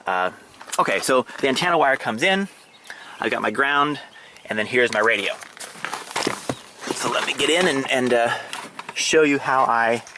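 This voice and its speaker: A man giving a casual, halting show-and-tell of a hobby setup, pausing and restarting as he explains. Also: mid-range voice, lively intonation, background noise. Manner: hesitant, halting, fragmented delivery. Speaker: amateur radio hobbyist demonstrating his setup. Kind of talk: casual demonstration vlog. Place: outdoors and in a parked vehicle in a residential neighborhood.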